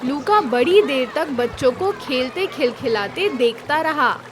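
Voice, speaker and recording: Casting: female children's-story narrator, high voice, unhurried delivery, soft music bed. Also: animated.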